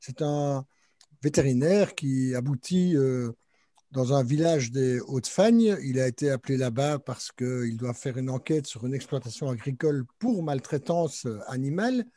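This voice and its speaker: Man, panel moderator, hesitant, lots of fillers, very dark tone, dry close sound. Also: mid-range voice, animated, moderate pace, no echo. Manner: hesitant, many fillers, introduces books and guests. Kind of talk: panel discussion hosting.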